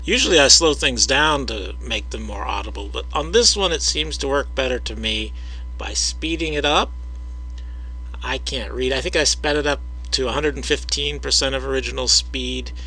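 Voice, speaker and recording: A man narrates his own video with a husky edge to his voice. His tone is bright and pushed forward. He explains at a careful, measured pace, hesitates often, and speaks directly to the viewer. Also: medium pitch, poor audio. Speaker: video creator explaining audio analysis.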